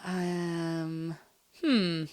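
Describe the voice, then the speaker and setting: A young woman with a slightly low voice acts as the interviewer. She answers in short, casual bits and laughs easily. She often signals agreement with quick replies. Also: steady tone, darkish tone.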